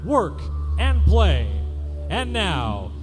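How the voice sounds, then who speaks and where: A man's voice: high voice, huge pitch swings. A man making a formal, grand announcement to open a gala event. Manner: grand, deliberate show-opening delivery. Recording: music behind the announcement. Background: music.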